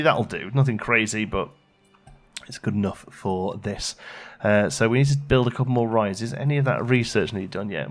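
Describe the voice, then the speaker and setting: A man giving casual, low-key gameplay commentary as a video host, thinking aloud and muttering to himself as he works. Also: a bit bright. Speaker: let's play video host.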